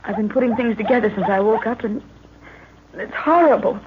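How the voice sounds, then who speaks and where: An actress playing an anxious, affectionate young heroine in genteel, melodramatic old radio style. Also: female voice, a little high, fairly quick, lightly acted. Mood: troubled, confiding. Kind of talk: 1940s radio acting. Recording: muffled, noisy old radio recording.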